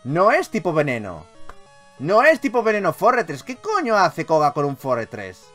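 Male gaming YouTuber, Castilian accent, highly animated, slightly high voice, free with swearing, music underneath. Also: moderate pace. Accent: Castilian Spanish from Spain. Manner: animated, expressive, swears freely. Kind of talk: excitable let's play commentary.

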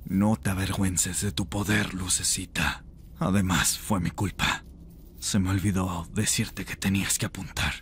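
A man voicing a chained rebel mage in an ASMR roleplay, intense, seductive and tender, with a breathy, gravelly voice. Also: low-pitched, steady tone, moderate pace, a bit bright, a little hiss or hum. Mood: tender, coaxing.

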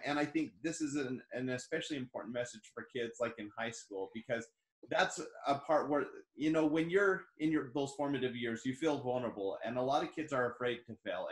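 A man in his forties hosting an interview over a video call, speaking earnestly and encouragingly. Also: mid-range voice. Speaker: host. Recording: echoey room on a video call.

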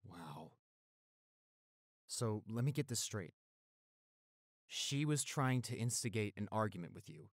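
A man's voice: fairly low pitch, expressive, takes it slowly, long pauses, no room sound, studio quality. A man playing a protective, tender young boyfriend who turns fierce toward bullies, in an intimate audio roleplay. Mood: gentle, reassuring. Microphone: close studio microphone.

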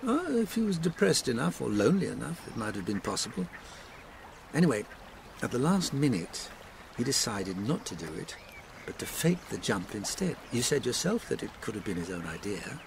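A loyal English doctor from a radio mystery, plain-spoken and sceptical. His gravelly voice rises and falls expressively as he thinks aloud and gently grumbles. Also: medium pitch, sing-song, moderate pace, background noise. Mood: pondering. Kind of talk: BBC radio drama acting.

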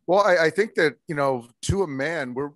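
A man in his forties, a baseball manager answering postgame press questions in a measured way, with many fillers and restarts, heard over a video call. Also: fairly high pitch, a bit bright, no room sound. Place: indoors in front of a logo backdrop.

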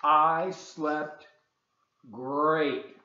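A man voicing a cheerful young mountain princess from a storybook, folksy and emphatic with drawn-out words. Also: a little high, steady tone, pushed and bright, roomy sound, highs cut off, heavily acted.

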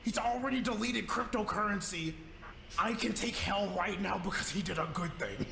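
A man's voice: high-pitched, normal speed, creaky, noisy background. A man voicing a menacing, power-hungry villain in an improvised comedic fan dub, with an echoey sound. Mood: menacing, scornful. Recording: echoey voice over music.

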